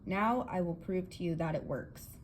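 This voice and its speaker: A woman's voice: lowish voice, moderate pace, close to an everyday voice. A woman reading the part of an earnest, confident male inventor who lectures his guests emphatically in a storybook. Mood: excited, triumphant. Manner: emphatic, explanatory, theatrical. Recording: clear background noise.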